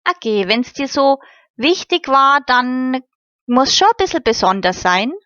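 A woman's voice: high voice, very animated, measured pace, a bit bright, no echo, pitched above the performer's own voice, exaggerated voice. A woman reading the part of a practical, slightly bashful young lad. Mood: brisk. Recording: slightly muffled recording.

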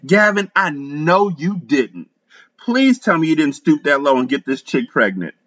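A man's voice: fairly high pitch, sing-song, unhurried, a bit bright, pitched above the performer's own voice, exaggerated voice. A man doing a brassy outspoken girlfriend. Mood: shocked. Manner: brassy, cursing. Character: loud outspoken best friend woman, shocked. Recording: slightly muffled.

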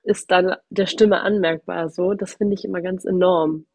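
A woman's voice: lowish voice, fairly even intonation, moderate pace, a little mellow. A woman podcast co-host with a cold, sounding nasal, chatting casually and laughing often. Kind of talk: casual conversation.

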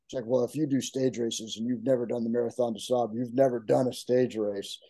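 A man's voice: medium pitch, fairly even intonation, a little fast, mellow, no room sound. A man in his sixties, a guest in a casual interview, chatting in a rambling way with many restarts. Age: 60s. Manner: quotes others in his stories.

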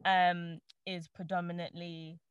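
A woman's voice: on the low side, a little slow, smooth, no room sound, lo-fi sound. Chatty woman in a dry room. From born in Trinidad, raised in the UK. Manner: chatty, animated, laughs often, many fillers. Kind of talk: casual panel chat.

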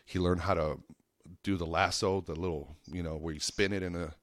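A man with a low voice, recorded dry and without room sound. He talks like a comic on a podcast, casual and anecdotal. His delivery is earnest and rambling, full of slang and the odd swear word. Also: fairly even intonation, fairly quick, no room sound. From United States, Lake Tahoe and Reno area of Nevada. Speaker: stand-up comedian.

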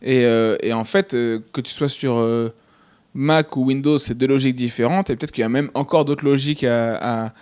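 A man's voice: mid-range voice, steady tone, moderate pace, darkish tone, clean tone, dry recording. A man, a stand-up comedian, talking casually and hesitantly, with many restarts and fillers. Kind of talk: casual conversation.

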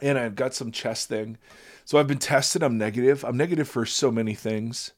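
A man hosting a podcast in casual chat, his voice raspy from a virus, rambling and self-deprecating, often laughing. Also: mid-range voice, normal speed. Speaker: podcast host.

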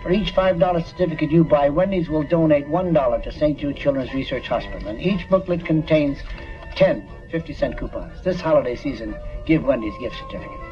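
A man giving a scripted first-person pitch as a radio commercial spokesman. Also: brisk. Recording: dull lo-fi radio, music behind.

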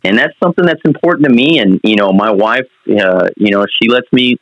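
A man's voice: mid-range voice, brisk, fairly bright tone, no echo, muffled recording. A man in his thirties from New Mexico, a basketball coach answering interview questions earnestly, with many fillers.

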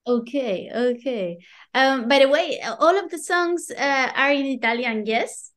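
A woman's voice: high voice, measured pace, fairly bright tone. A woman hosting a casual podcast interview, asking questions in a friendly, hesitant way with many fillers. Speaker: podcast host.

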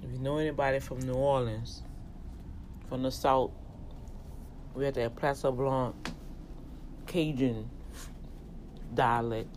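Louisiana-accented host, unhurried, casual teaching-style monologue. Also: medium pitch, fairly even intonation, a little mellow, slight background noise, low-quality recording. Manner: talks slowly and deliberately on purpose, in a teaching tone. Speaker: podcast host and tax office manager.

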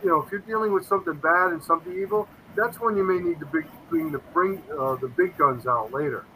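Man in his fifties, bright forceful tone, somewhat high voice, rambling and earnest, faint hum behind. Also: quick pace, poor audio. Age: 50s. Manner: rambling, earnest, quotes himself often. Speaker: paranormal investigator guest. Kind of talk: casual interview storytelling.

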